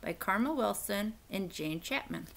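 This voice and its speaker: A woman reading a children's picture book aloud in an expressive, theatrical, emphatic storytelling style. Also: medium pitch, moderate pace.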